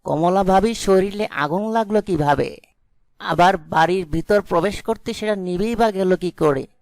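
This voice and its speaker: A man voicing an alarmed, suspicious village neighbour in an animated folk tale, exclaiming and questioning. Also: high-pitched, unhurried, creaky, no echo. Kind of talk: animated fairy-tale voice acting.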